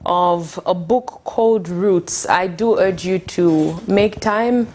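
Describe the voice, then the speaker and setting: A woman's voice: lowish voice, takes it slowly, a little mellow. Woman hosting a breakfast show. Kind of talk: TV interview hosting.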